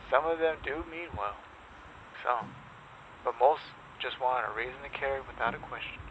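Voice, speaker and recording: A man playing an ominous, sardonic pirate radio host in a zombie apocalypse drama, speaking in deliberate dramatic pauses. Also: mid-range voice, fairly bright tone, close to an everyday voice. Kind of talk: dramatic scripted radio monologue. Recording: muffled noisy lo-fi radio broadcast.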